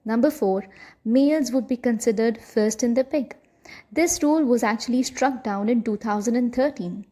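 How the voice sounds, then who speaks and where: A woman's voice: on the high side, moderate pace, warm tone. A woman reading scripted educational narration for a short history film.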